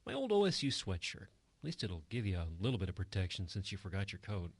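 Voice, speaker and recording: A man acts a kindly bartender in a radio drama, a gentle and encouraging listener. His voice is lowish for a man and earnest, recorded close and dry without echo. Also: wildly swooping pitch, fast talker, breathy edge, exaggerated voice.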